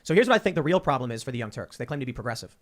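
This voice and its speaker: A man hosting a casual talk show, talking quickly and animatedly and often repeating words as he starts a sentence. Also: mid-range voice, very animated. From Chicago. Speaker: podcast host.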